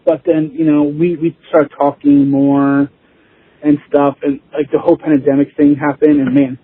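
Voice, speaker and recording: A man chatting casually as an interview guest, informal and rambling, with a husky voice. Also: a little high, level tone, moderate pace, dry recording. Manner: informal, rambling, chuckling easily. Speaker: interview guest, band musician. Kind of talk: casual chat. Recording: tinny phone-like line.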